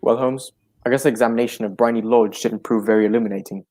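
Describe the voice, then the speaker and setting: Man acting a polite, inquisitive gentleman sidekick, mid-pitched. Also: fairly even intonation, fast, soft background music, lightly acted. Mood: agreeable. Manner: polite, mildly questioning. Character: loyal, polite, inquisitive gentleman companion to a detective. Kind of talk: 1940s radio drama acting.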